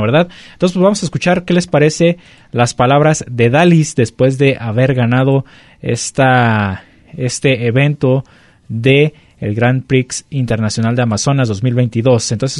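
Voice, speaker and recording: Male sports show host, halting delivery with pauses, dry studio-close sound. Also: medium pitch, no room sound.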